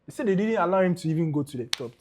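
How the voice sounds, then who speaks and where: A young Ghanaian man with a Ghanaian accent, co-hosting a casual football podcast, animated and dropping into pidgin. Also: sits high, racing, slight background noise. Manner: animated, mixes in pidgin, laughs often. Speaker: podcast co-host.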